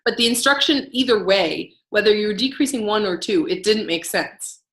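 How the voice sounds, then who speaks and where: A woman's voice: medium pitch, moderate pace. Woman, podcast co-host, easygoing chat, roomy echo. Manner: relaxed, easygoing, uses lots of fillers. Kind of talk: casual knitting chat. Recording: echoey room.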